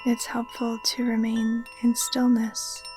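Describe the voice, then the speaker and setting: A woman gently guiding a relaxation meditation in a soft, breathy, near-whispered voice, soothing and unhurried. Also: on the high side, completely flat delivery, over music. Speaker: yoga nidra teacher. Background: occasional chimes.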